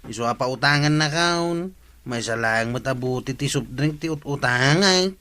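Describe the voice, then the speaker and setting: A man's voice: medium pitch, moderate pace, pushed and bright, close to an everyday voice. A man playing a lazy, stubborn, cheeky tricycle driver in a comic radio drama, complaining curtly with an Ilocano Filipino accent. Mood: grumbling. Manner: complaining, curt.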